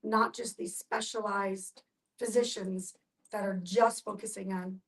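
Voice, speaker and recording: A woman explaining things to a discussion group in a measured, instructive way. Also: mid-range voice, fairly even intonation. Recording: distant from the microphone.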